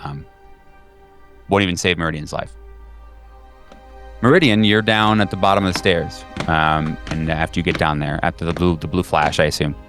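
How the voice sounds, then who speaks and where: A low-voiced man acts as game master for a horror roleplaying game. He improvises his narration casually, repeating words as he builds a scene, over faint music. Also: fairly even intonation, fairly bright tone. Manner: informal, halting, repeats words.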